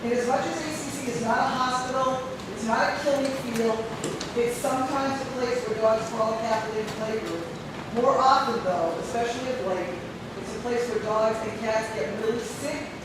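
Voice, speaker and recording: A woman, a shelter volunteer, giving a loud, forthright public comment in a large meeting hall. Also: a little high, moderate pace. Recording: distant echoey hall, loud background noise.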